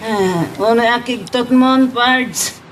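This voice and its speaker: A man's voice: very high-pitched, takes it slowly, a bit bright, a little hiss or hum, heavily acted. A man acting a cocky, taunting young rival in an Ilocano romance drama, with an Ilocano accent.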